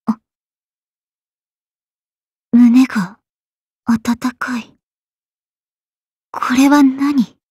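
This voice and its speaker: A woman playing a calm, dutiful valkyrie in an anime game, composed and formal. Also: high-pitched, drawn-out words, pauses often, husky, no room sound, studio quality, heavily acted. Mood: moved. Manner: composed, polite, military formality. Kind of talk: anime mobile game voice acting.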